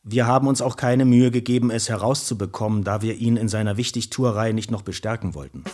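An actor playing a stern, formal sanatorium doctor in a radio play, reading out reports in a matter-of-fact, officious way. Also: male voice, lowish voice, fast talker, a little mellow, close to an everyday voice. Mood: reproachful.

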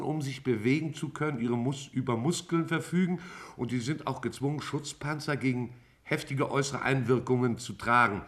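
A man playing a scientist in a 1950s German radio drama, reading messages aloud in a careful, halting way. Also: mid-range voice, natural voice.

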